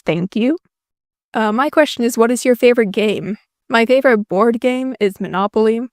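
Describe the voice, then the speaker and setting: Young Finnish woman, smooth higher voice. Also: dry recording. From Finland. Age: young adult. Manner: self-deprecating, dry jokes. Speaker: YouTuber. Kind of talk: casual Q&A voice-over.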